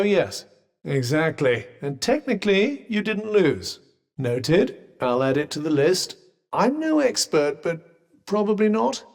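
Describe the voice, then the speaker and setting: A haughty, sardonic magical companion from a video game, speaking in posh British tones. The voice sits a little high and swings expressively from line to line. It is droll, understated and faintly condescending, and rings with a long reverb. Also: male voice.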